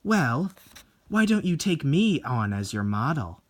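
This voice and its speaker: Someone acting out a cocky, dramatic painter with husky edge. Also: mid-range voice, sing-song, a little slow. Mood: wry, confident. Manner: wry, blunt, boastful, dramatic. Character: cocky, proud, hot-tempered male painter.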